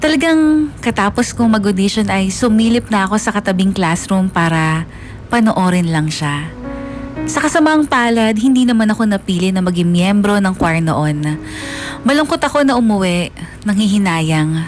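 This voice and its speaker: A woman's voice: mid-range voice, lively intonation, moderate pace, close to an everyday voice. A woman playing a lovestruck narrator who recounts her school crush earnestly and wistfully, as a radio drama confession. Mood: rueful. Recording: music under the voice.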